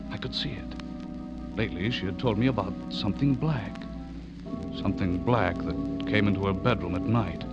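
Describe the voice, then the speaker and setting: An anxious young newspaperman, played by a male actor, tells his horror story in a lowish voice. The delivery is dramatic and foreboding, in 1940s radio style. It comes through a muffled vintage recording with loud music underneath. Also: lightly acted. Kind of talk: 1940s radio acting, first-person narration.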